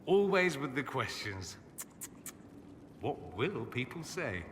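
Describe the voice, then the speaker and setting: An actor playing a witty, flamboyant and sardonic mage in a fantasy game, with an arch, teasing delivery. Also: male voice, medium pitch, sing-song, slow, fairly bright tone, big-room sound, a little hiss or hum. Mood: playful, arch. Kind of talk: scripted fantasy game dialogue.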